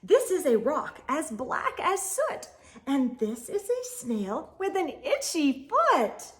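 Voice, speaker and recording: Slow, high-voiced woman reading to children. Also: very animated. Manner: dramatic, drops into whispers, addresses young listeners. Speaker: children's storyteller. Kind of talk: expressive children's picture book read-aloud.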